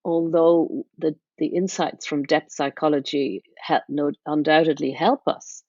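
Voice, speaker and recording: A middle-aged woman, speaking thoughtfully and haltingly as an interview guest about her own life and work. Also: low voice, steady tone, darkish tone, dry recording, lo-fi sound. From west of Ireland. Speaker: interview guest, psychotherapist and author.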